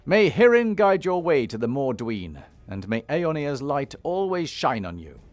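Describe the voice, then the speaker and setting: An actor playing a resolute, dry-witted hero with an earnest, measured manner in a fantasy game cutscene. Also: male voice, fairly high pitch, sing-song, a little mellow, no room sound, soft background music.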